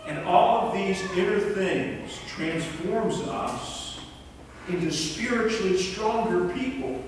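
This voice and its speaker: A middle-aged male pastor delivers an earnest, measured sermon at a slow, deliberate pace. His voice sits a little high for a man. It is caught from far back in a large sanctuary, with a long, ringing reverb. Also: expressive, noisy background. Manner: earnest, measured preaching with repeated words. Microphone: far camera in the pews.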